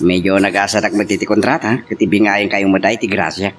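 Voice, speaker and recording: A man's voice: on the low side, fairly even intonation, fairly quick, close to an everyday voice. An actor playing a chatty, familiar man in an Ilocano drama. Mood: friendly. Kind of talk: Ilocano radio-style drama acting. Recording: faint background noise.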